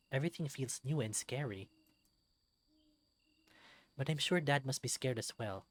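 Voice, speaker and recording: A man reading a visual novel aloud as narrator, in an expressive, dramatic storybook style. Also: medium pitch.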